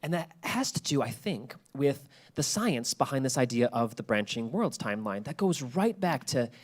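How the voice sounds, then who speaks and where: A man's voice: medium pitch, big pitch swings, moderate pace. Man giving an informal explanatory talk. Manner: explanatory, poses rhetorical questions. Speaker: presenter giving a talk on podcast world-building. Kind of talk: informal lecture to an audience.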